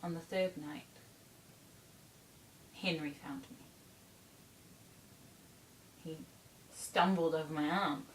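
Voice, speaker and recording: A woman performing a stage monologue as a troubled young bohemian runaway, confessing in a deliberate, haunted manner with pauses. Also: steady tone. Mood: haunted, recounting. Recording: roomy, distant mic, faint rumble.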